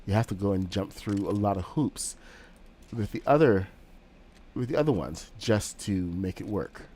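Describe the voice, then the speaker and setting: Male streamer, slightly low voice, has a cold; loose, rambling play-by-play with fillers. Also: animated, normal speed, mellow. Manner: rambling, with frequent fillers and restarts. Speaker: game streamer. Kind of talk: casual live-stream commentary while building.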